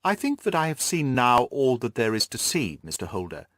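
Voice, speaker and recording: A man acts out a keen detective for an audiobook. His speech is lively and swooping in pitch, precise and courteous, full of self-assurance, and the sound is close and dry. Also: moderate pace, no echo. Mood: courteous, decisive. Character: brisk, confident male detective, keen and courteous. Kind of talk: audiobook character dialogue.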